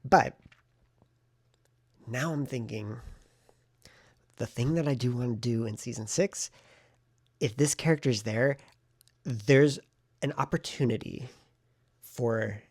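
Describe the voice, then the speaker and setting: A man talking in a casual vlog style, thinking aloud in an informal, meandering way, with a husky voice. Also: medium pitch, slow pace, long pauses, no room sound, studio quality. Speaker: vlogger and fiction writer. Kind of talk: casual rambling vlog talk.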